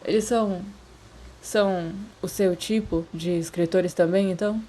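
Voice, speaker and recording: A woman's voice: fairly low pitch, fairly even intonation, mellow, a little hiss or hum, close to an everyday voice. A woman with a Brazilian accent voicing a nervous, earnest, bookish schoolboy in a story, with hesitant speech. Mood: anxious, brave. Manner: hesitant, halting.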